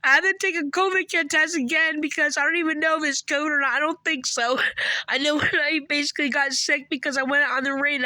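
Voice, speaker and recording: A woman's voice: high-pitched, bright, forceful tone, no echo. A distraught woman with a cold and a failing voice, rambling emotionally into her phone. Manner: distraught, rambling, repeats "or whatever". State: has a cold, losing her voice. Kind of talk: emotional phone video rant.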